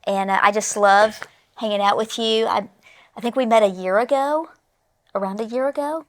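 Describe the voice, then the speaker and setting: A woman guest who runs a missions group, introducing herself in a bubbly, warm way. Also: medium pitch, normal speed. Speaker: missions president, podcast guest. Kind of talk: casual chat.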